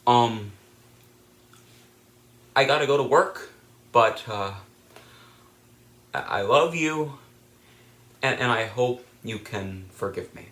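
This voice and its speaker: A man playing a grieving grandchild who says a halting, apologetic goodbye. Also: medium pitch, fairly bright tone. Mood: sorrowful. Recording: roomy, echoey recording.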